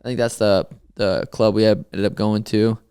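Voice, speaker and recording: A man's voice: fairly low pitch, flat delivery, normal speed, a little mellow, clear, resonant tone. A young man telling stories in a loose, swearing podcast chat, laughing often as he co-hosts. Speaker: podcast co-host, fighter.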